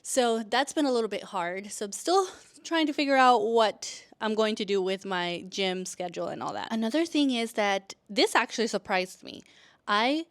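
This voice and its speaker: Woman in her 30s vlogging, smooth clear voice, chatty and rambly, lots of likes and restarts. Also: fairly high pitch, moderate pace. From El Salvador, raised in California. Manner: chatty, frequent fillers and restarts. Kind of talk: casual talking-to-camera vlog.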